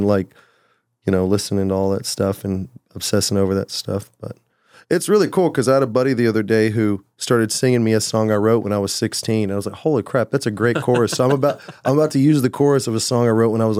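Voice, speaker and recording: A man's voice: on the low side, brisk, a little mellow, no room sound, clean studio sound. A man talking about his songwriting in a casual, rambling way, with lots of "like" and "you know.". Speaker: musician guest. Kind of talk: casual conversation.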